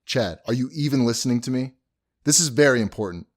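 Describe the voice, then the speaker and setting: An actor playing an exasperated, serious young groom with an impatient, insistent manner in an audio drama. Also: male voice, mid-range voice, animated, quick pace, natural voice. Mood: urgent, insistent.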